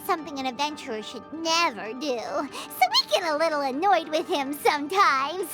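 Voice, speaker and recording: A woman voicing a tiny, childlike, excitable companion in a video game, squeaky and given to blurting things out. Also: moderate pace. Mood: flustered, covering up. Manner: excitable, refers to herself by name. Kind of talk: game cutscene acting. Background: music.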